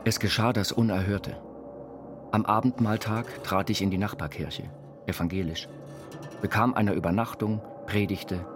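Guy acting as dreamy institutionalised inventor reading his notes, low voice, measured and formal, music behind. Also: close to an everyday voice. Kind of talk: scripted literary reading over music.

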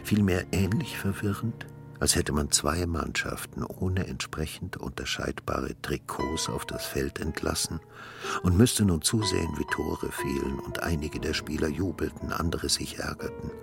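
A man's voice: rumbling low, creaky, full character voice. An actor playing a wordy, ironic man brooding over his own thoughts in a radio play monologue. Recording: loud music under the voice. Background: music.

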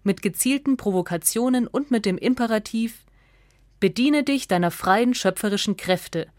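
A woman reading a scripted radio essay as narrator, in a measured, factual and articulate way. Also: medium pitch, darkish tone, no room sound, clean studio sound.

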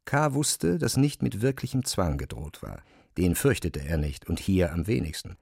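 Male narrator for literary radio drama, lowish voice, very dry close studio recording. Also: sing-song, normal speed, gravelly, darkish tone, husky, dry recording.

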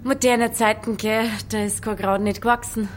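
A woman playing a stubborn, quick-witted young village innkeeper, speaking pointed Bavarian dialect in a drama. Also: a little high, fairly even intonation, slight background noise, close to an everyday voice. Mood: brisk, wry. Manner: feisty, pointed dialect talk.

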